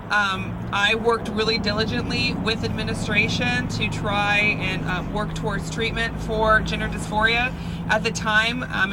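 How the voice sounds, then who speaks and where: A woman with a soft, feminine voice giving an earnest, hesitant speech at an outdoor rally, with frequent ums. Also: mid-range voice. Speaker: rally speaker. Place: outdoors at a rally near roadway. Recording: outdoor rally with traffic noise. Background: passing cars.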